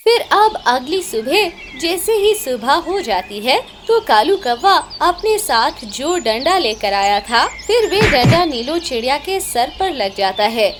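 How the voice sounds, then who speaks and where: A woman's voice: sits high, sing-song, a little slow, few pauses, noisy background. A woman reading scripted narration for a children's cartoon story.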